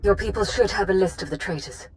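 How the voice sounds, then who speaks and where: A woman playing a cool, composed secret agent in a video game, measured, matter-of-fact and self-assured. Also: medium pitch, natural voice. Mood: urgent. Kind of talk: dramatic video game acting.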